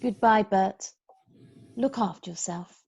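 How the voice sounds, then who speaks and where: A woman playing a prim, brisk, kindly nanny in an amateur script read-through. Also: medium pitch, gravelly, darkish tone, breathy edge, exaggerated voice. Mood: gentle.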